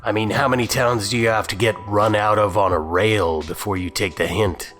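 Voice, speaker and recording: A man's voice: lowish voice, fairly even intonation, moderate pace, fairly bright tone. A man delivering scripted mocking commentary in a sardonic, scornful manner. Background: music.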